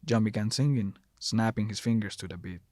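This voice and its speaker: A man's voice: lowish voice, brisk, mellow, dry recording. A man narrating a scripted horror story as the narrator, recorded close to the mic.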